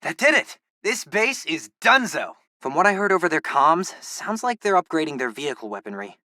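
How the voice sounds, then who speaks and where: A man's voice: on the high side, very animated, fairly quick, bright, forceful tone, no echo, clean studio sound. A young man playing a geeky, upbeat teenage boy in a video game, a loyal best friend with a casual, wry, chatty way of talking. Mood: focused. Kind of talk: video game companion dialogue.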